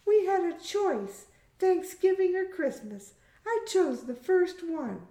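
A woman voicing a young adult daughter, bright and testing, in an audiobook story. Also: very high voice, big pitch swings, slow, pitched above the performer's own voice, full character voice. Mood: bright, insistent. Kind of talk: audiobook character voice. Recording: roomy echo.

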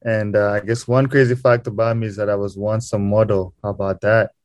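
A young man from Rwanda talks easily as a podcast guest, filling his sentences with "um" and "you know". His voice sits a little low, and the recording is dry and close. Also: a little fast, dry recording. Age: young adult. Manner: relaxed, lots of fillers like "um" and "you know". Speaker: podcast guest, student and game designer. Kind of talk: casual interview talk.

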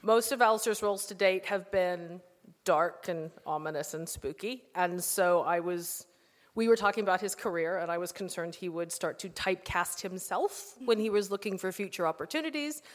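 A woman's voice: fairly low pitch, moderate pace. A woman telling an anecdote at a live Q&A, recorded in an echoey hall.

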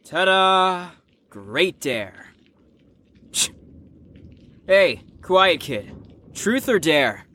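A woman's voice: fairly low pitch, sing-song, drawn-out words, long pauses, fairly bright tone, exaggerated voice. A woman playing a brash, rebellious young slacker in an audio drama, teasing and sarcastic in slangy speech.

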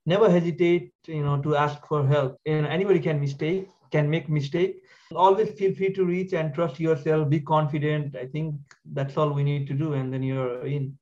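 A man's voice: on the high side, fairly even intonation, normal speed, darkish tone. A young man from Nepal, a medical resident, giving plain and earnest advice in a podcast interview. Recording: dull lo-fi sound.

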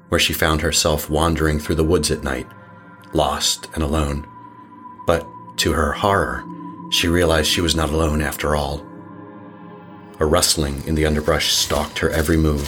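A man narrating a horror audio drama in a slow, measured storytelling manner. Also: rumbling low.

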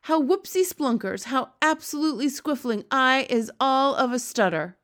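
A woman voicing a gentle, childlike male giant from a storybook, earnest and excitable, with quaint muddled grammar. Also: fairly high pitch, normal speed, pitched above the performer's own voice, close to an everyday voice. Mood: delighted.

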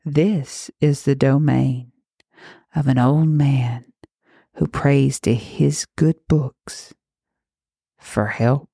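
A woman's voice: extremely low pitch, fairly even intonation, vocal fry, mellow, husky, dry recording, studio quality, exaggerated voice. A woman voicing a mocking vengeful witch. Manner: mocking, hissing, slow and deliberate.

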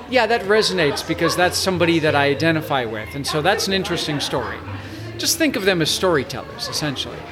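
Man, interviewer, slightly high voice, reflective, conversational, clear music behind.

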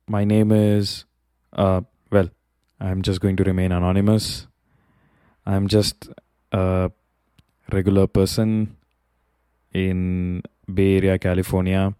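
Man, non-native English speaker, mellow smooth voice, dry close sound, slow and halting with fillers. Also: deep voice, flat delivery, dry recording. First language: not English. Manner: hesitant, many fillers and pauses. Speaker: podcast host. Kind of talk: solo reflective podcast monologue. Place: Bay Area, California.

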